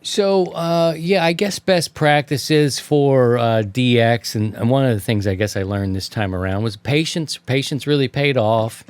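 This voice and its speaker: Man, American accent, casual talk host, slangy storytelling, playful mock insults, mid pitch, dry recording. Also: measured pace, hardly any pauses, clear, resonant tone, dry recording. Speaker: host of a ham radio talk show. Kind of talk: casual chat.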